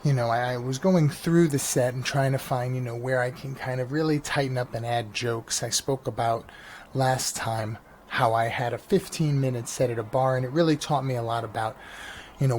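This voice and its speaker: A man's voice: medium pitch, darkish tone. A man from New York, a stand-up comedian hosting his own vlog podcast, rambling casually with lots of restarts and fillers. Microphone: janky mic. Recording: faint engine noise behind. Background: engine noise.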